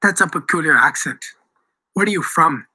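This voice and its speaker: A storyteller voicing a stern, suspicious and excitable old male haberdasher in an adventure story. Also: moderate pace, husky, no room sound, exaggerated voice. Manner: stern questioning that rises into shrill shouting.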